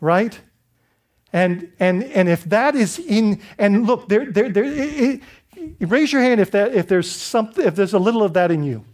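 High-voiced preaching man from the Bahamas. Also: animated, normal speed, darkish tone. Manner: conversational preaching with frequent restarts and rhetorical "right?". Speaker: pastor. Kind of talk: sermon.

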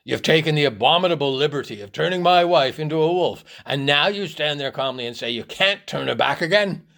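A man voicing a loud, blustering, indignant army colonel in a story reading. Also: fairly high pitch, expressive, fairly quick, gravelly, voice pushed up. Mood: outraged. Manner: loud, thundering, exclamatory.

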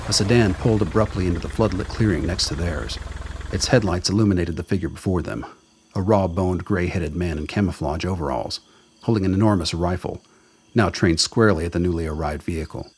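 A man's voice: low-pitched, fairly quick, darkish tone, a little hiss or hum. A man reading scripted narration for a radio drama.

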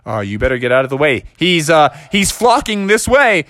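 A man voicing a boastful grown-up male weatherman who guides the hero, in a low, knowing tone. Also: very animated, normal speed, a bit bright, no room sound, clean studio sound.

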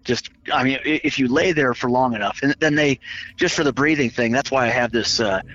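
A man with a scratchy voice tells stories with a self-mocking streak. His pitch sits in the middle range for a man. The audio is dull and lo-fi. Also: brisk, a bit bright. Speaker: guest. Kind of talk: casual chat.

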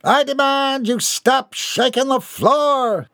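Pompous portly aristocrat, voiced by a man, pitched high, haughty commands, drawn out. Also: slow, sharp tone, no room sound, studio quality, full character voice. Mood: haughty demanding. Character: pompous portly spoiled male aristocrat, demanding.

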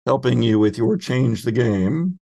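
A man's voice: mid-range voice, animated, a little slow, gravelly, warm tone. A man speaking casually as the game master of a tabletop roleplaying game, narrating and explaining rules.

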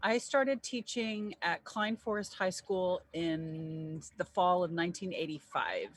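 An older woman, a teacher, answering interview questions in a measured, reflective way. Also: on the low side, lively intonation, husky, no room sound.

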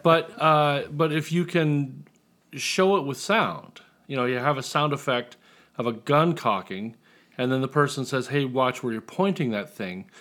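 A man's voice: medium pitch, a little slow, fairly bright tone. Casual man chatting as a podcast guest. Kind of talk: casual interview chat.

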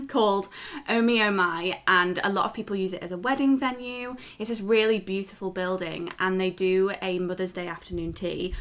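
A woman in her twenties, co-hosting a podcast in casual chat, chatty and giggly with a self-mocking streak, sounding like she has just woken up. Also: medium pitch. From Liverpool, England. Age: 20s. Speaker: podcast co-host.

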